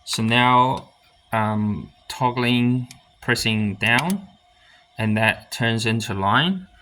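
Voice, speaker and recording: A man giving a casual hands-on demonstration of audio gear, thinking aloud in short, halting phrases. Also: mid-range voice, pushed and bright.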